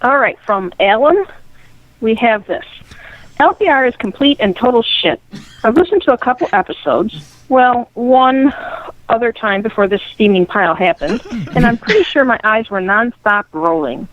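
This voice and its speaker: A woman's voice: on the high side, expressive, normal speed, no echo, highs cut off. A middle-aged woman reading hostile listener comments aloud as a guest, in a dramatic reading style. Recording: dry, band-limited radio-like sound.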